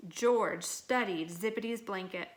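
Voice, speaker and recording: A woman reading a children's picture book aloud in an expressive, dramatic and deliberate way. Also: medium pitch. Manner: dramatic, deliberate delivery with acted sound words. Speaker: storybook reader.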